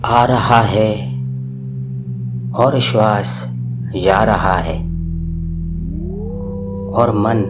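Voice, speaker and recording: Very slow, calm male meditation guide. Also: fairly low pitch, loud music behind the voice. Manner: slow, calm, soothing instructions with long pauses.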